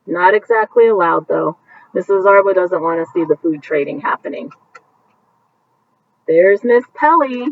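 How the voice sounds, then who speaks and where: Lively woman reading a bedtime story. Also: on the low side, distant mic, phone-quality sound. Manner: animated, pausing to point out pictures to young listeners. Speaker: teacher reading a picture book aloud. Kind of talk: bedtime story read-aloud with commentary.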